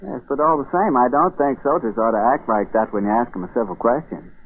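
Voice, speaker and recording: Casting: naive, stubborn colonial farmer, folksy and halting, mid-range voice, muffled vintage radio play recording. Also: male voice, medium pitch, breathy edge. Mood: indignant, determined. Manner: hesitant, folksy, hemming and hawing. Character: plain-spoken, stubborn, naive colonial country farmer, a man. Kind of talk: 1940s radio drama acting. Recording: muffled narrow-band 1940s radio broadcast.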